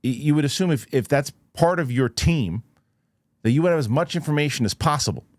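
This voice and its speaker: A man's voice: fairly high pitch, expressive, fairly quick, a little mellow, no echo. A man speaking casually as a show host, giving emphatic, conversational commentary straight to his viewers and thinking aloud. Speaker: host of a UFO news show.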